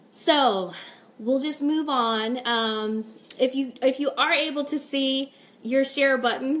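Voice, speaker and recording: A woman hosting a casual live stream, chatting informally and upbeat as she reads out comments and announcements. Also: on the high side. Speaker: host of a fan radio live stream.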